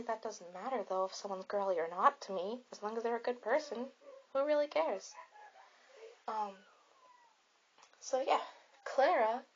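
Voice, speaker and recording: High-voiced chatty, reassuring teen girl, played by a woman. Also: fairly bright tone, lo-fi sound. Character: friendly popular teenage girl comforting an anxious partygoer. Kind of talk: ASMR roleplay, soft casual chat.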